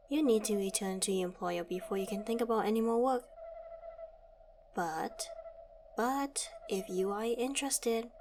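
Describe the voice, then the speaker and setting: A woman's voice: medium pitch, slight background noise, lightly acted. A woman playing a suave, witty secret agent in an audio roleplay, wry and polite, talking as if to a trusted partner. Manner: wry, polite, teasing tag questions. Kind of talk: ASMR audio roleplay, scripted.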